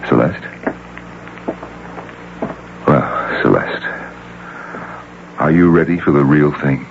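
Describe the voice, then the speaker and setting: An actor playing a tender, persuasive middle-aged rich suitor in an old-time radio drama. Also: male voice, extremely low pitch, slow, gravelly, heavily acted. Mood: concerned. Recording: muffled, lightly noisy radio broadcast tape.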